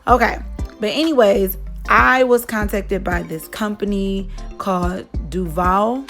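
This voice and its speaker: Young woman beauty vlogger, casual rambling chat, a bit hoarse, faint music underneath. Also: mid-range voice, a little slow, a bit bright. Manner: chatty, rambling, many fillers. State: slightly hoarse, losing her voice. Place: vacation lodging, indoors.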